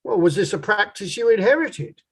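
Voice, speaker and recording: An actor as a courtroom inquiry lawyer in a read-through of a drama. The questioning is formal and measured, pressing the witness step by step at a middling pitch. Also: very animated, slightly rough. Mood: formal, probing.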